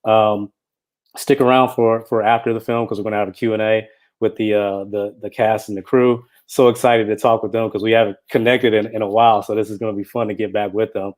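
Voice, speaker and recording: A man's voice: lowish voice, level tone, fairly quick. A man speaking casually as a film director introducing his own work.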